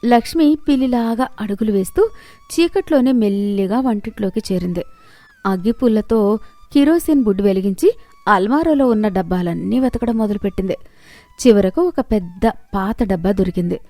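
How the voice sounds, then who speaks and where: A woman's voice: medium pitch, animated, normal speed, dark tone, no room sound. A woman reading a scripted moral story as its narrator.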